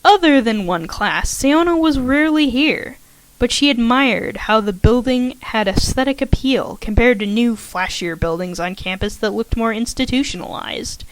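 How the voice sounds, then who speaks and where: A woman's voice: a little high, animated, moderate pace, low-quality recording. A woman carefully reading a story aloud, slightly shaky in her delivery. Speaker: fanfiction audiobook reader.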